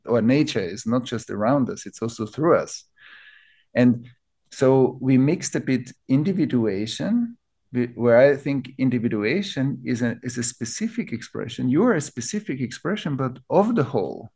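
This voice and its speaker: A man from Austria with an Austrian accent, a native German speaker, explaining ideas reflectively as an interview guest, building his thoughts in short, halting phrases. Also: mid-range voice, animated, mellow, husky. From Vienna, Austria. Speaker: interview guest, teacher of collective trauma healing.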